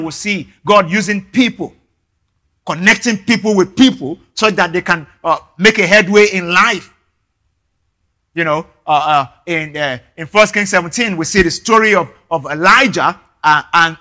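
A man from Nigeria, a native Yoruba speaker, preaching a sermon earnestly, often repeating words and filling pauses. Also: sits high, bright, forceful tone. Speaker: pastor.

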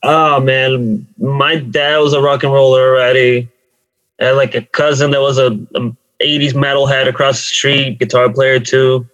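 A man's voice: medium pitch, flat delivery, normal speed, fairly bright tone, dull audio. A man from Brazil, a band member, chatting casually as an interview guest in an informal, matter-of-fact way. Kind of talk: casual chat.

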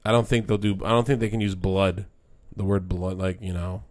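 A man's voice: sits low, fairly even intonation, brisk, darkish tone, no room sound. A man co-hosting a podcast, talking casually about wrestling in a rambling way with frequent restarts. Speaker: podcast co-host.

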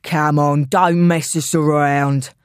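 Woman putting on a gruff, hoarse London thug. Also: low-pitched, steady tone, slow, dry recording, clean studio sound, pitched below the performer's own voice. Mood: threatening. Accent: old-style London. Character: gruff, coarse older-style London male thug, bullying henchman.

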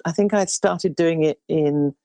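A woman in her sixties with an unusually low, baritone voice, talking in a loose, meandering way as she recalls her career. The sound is dry and close, with no room around it. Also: unhurried, creaky, clean tone, no room sound. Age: 60s. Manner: rambling, warm storytelling with restarts. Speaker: singer. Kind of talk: relaxed interview chat.

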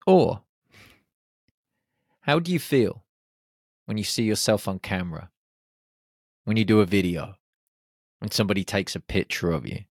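Man, low voice, podcast host, animated solo talk, dry close microphone. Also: moderate pace, long pauses, no echo. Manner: lively, animated storytelling with exclamations.

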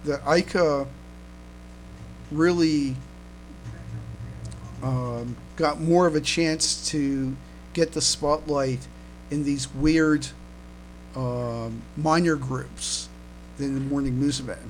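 A man's voice: a little high, fairly even intonation, drawn-out words, pauses often, a little hiss or hum, low-quality recording. A man hosting a casual fan podcast, chatting hesitantly and often stammering and repeating his words. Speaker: podcast host.

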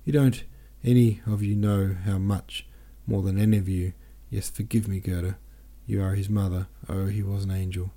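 A man's voice: sits low, little pitch movement, normal speed, hardly any pauses, mellow. A man reading the lines of an emotional, outspoken older woman in a storybook, lightly acted in his own voice. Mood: grieving.

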